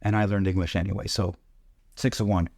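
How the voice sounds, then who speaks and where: A man's voice: low-pitched, level tone, a little fast, vocal fry, darkish tone, no echo, clean studio sound. A man co-hosting a casual news podcast, telling stories in a reflective way. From Hungarian-speaking family. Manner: reflective storyteller, reads aloud at times. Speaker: podcast co-host.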